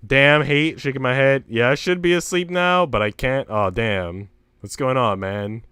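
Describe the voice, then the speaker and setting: A man's voice: medium pitch, measured pace, pushed and bright, clear, resonant tone, no echo, studio quality. A man livestreaming a story game, switching between reading narration aloud and slangy, sweary casual chat. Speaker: streamer reading a visual novel aloud. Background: music.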